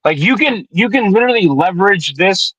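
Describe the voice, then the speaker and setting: A man in his twenties from the Detroit area, co-hosting a podcast in casual, profane chat, animated while he pitches advice. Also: fairly quick, husky, no room sound. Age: 20s. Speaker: podcast co-host. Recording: dull lo-fi sound.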